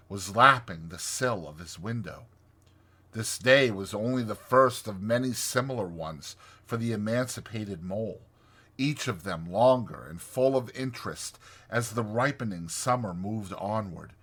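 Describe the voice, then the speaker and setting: A man reading a classic storybook as an audiobook narrator, in an expressive scripted style. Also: lowish voice, husky.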